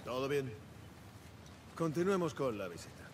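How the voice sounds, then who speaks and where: A man's voice: medium pitch, big pitch swings, normal speed, background noise, lightly acted. A man playing an informal, easygoing survivor and younger brother in a video game. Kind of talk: naturalistic video game acting.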